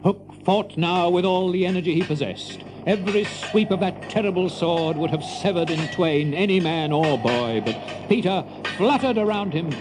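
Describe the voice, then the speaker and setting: A man's voice: high voice, moderate pace, few pauses, poor audio. A man narrating a children's adventure story in a dramatic, scripted storybook style. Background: music.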